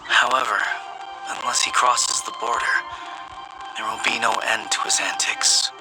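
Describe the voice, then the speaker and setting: A man playing a composed, courteous, dignified character in an anime-style video game, speaking in a formal, measured way. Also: medium pitch, flat delivery, music in the background, natural voice, poor audio. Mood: calm, businesslike.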